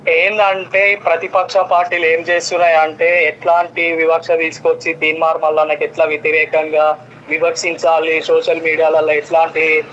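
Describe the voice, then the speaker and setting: A man's voice: a little high, monotone, normal speed, fairly bright tone. A man with a Telangana Telugu accent phones a live show as a caller, making his case emphatically in long sentences. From Yadadri district, Telangana, India. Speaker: phone-in caller, graduate voter. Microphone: telephone. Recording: dull, noisy telephone line.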